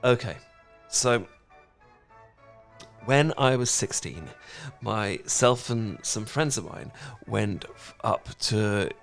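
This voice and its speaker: A man's voice: lowish voice, expressive, takes it slowly, gravelly, soft background music, heavily acted. A British man playing a cute, awkward young dork in a romantic roleplay, stammering and rambling as he talks. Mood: nervous rambling. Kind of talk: romantic ASMR roleplay acting.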